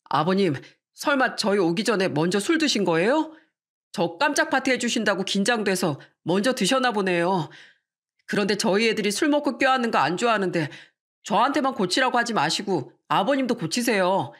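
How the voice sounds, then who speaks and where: A woman's voice: mid-range voice, lively intonation, brisk, close to an everyday voice. A woman voicing a sensitive, devoted adult son-in-law in a story, speaking politely and effusively. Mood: teasing. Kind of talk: scripted story dialogue.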